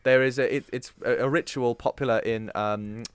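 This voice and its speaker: A man's voice: mid-range voice, steady tone, moderate pace, clear, resonant tone, no echo. Male podcast host, wry and laughing, dry sound. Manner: wry, laughs at his own jokes. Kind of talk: casual banter, reading out a story.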